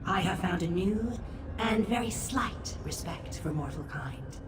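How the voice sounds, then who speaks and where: An actress voicing a haughty, gloating otherworldly servant who scorns mortals in a formal, condescending way, for a video game. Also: female voice, on the low side, animated, measured pace, very noisy. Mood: amused, scornful. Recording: echoing, distant-sounding, under loud music.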